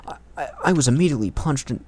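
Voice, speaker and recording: A man's voice: medium pitch, animated, rapid-fire, a little mellow, breathy edge. A man reading fan fiction as an amateur, stumbling over words and breaking into casual commentary.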